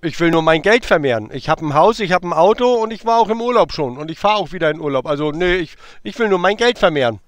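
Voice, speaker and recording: A man in his late fifties talks on a phone call, teasing and stalling with many hesitations. His voice sits high and swings widely in pitch. The sound is dry and close. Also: lively intonation, quick pace, hardly any pauses, no room sound. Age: 50s.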